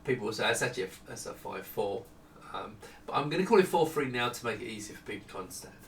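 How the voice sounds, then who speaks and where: A middle-aged man giving a casual, unscripted product walkthrough in a halting, conversational manner. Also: on the low side, lively intonation, gravelly, fairly bright tone. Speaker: product designer presenting his monitors. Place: small home office indoors with studio lighting. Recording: echoey room, distant mic, faint noise.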